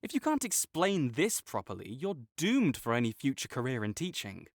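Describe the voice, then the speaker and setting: A man's voice: medium pitch, wildly swooping pitch, moderate pace, dry recording, studio quality. A young man voicing a competitive male student rival who teases and bosses, then turns flustered and defensive. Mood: teasing. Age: young adult. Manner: teasing and bossy, gets flustered and defensive. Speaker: voice actor in an audio roleplay. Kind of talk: audio roleplay acting.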